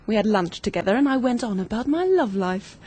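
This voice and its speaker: An actress playing a confident young amateur sleuth in a radio drama, brisk, knowing and bossy. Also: female voice, medium pitch, lively intonation, warm tone, husky. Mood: knowing, eager. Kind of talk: 1940s-style British radio drama acting.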